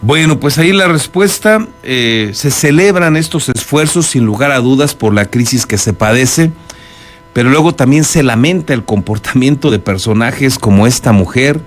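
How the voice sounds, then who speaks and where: A man's voice: mid-range voice, animated, normal speed. A man with a Mexican accent anchoring a news programme, mixing reports with spoken commentary. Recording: music bed behind the voice. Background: background music.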